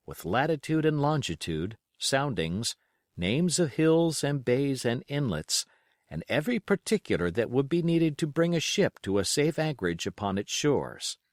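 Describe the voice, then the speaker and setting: A man reading a novel as a scripted audiobook narration, measured and carefully phrased. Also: medium pitch, dry recording, clean studio sound.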